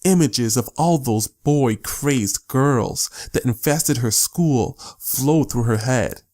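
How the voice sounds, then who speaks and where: A man's voice: mid-range voice, slow, a little mellow. A man reading scripted story narration as the narrator of an audiobook.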